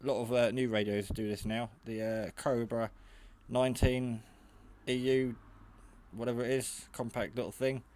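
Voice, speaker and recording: A man's voice: medium pitch, little pitch movement, normal speed, darkish tone, slight background noise. A man giving a casual, hands-on product demonstration in a hesitant, rambling, matter-of-fact way. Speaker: hobbyist radio reviewer. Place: garage.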